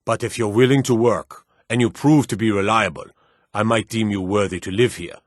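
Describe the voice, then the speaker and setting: A man voicing a gruff, wary city guard in a fantasy video game, stern, clipped and world-weary. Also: lowish voice, steady tone, normal speed, no room sound, clean studio sound, natural voice. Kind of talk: video game character dialogue.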